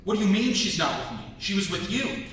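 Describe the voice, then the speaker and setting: Man acting bossy ship captain, loud, scolding, stage reading, distant mic, noisy room. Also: very animated, brisk. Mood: urgent, exasperated. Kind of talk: staged dramatic script reading. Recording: very echoey hall, distant mic, hiss and rumble.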